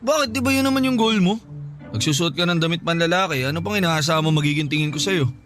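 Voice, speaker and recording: A man's voice: on the high side, fast talker, fairly bright tone, soft background music, close to an everyday voice. An actor playing a teasing, bewildered young male best friend in a radio drama, mocking and incredulous. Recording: clear background ambience noise.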